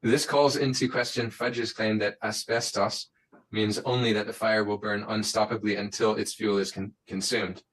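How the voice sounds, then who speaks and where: A man in a played clip, reading a prepared critique in a measured, lecture-like way. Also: lowish voice, little pitch movement, a bit bright, rough recording.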